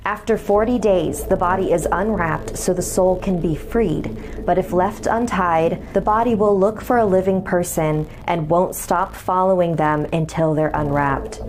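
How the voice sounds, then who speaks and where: A woman's voice: on the low side, a little slow, noisy background. A woman reading a scripted horror story as its narrator, with music behind her.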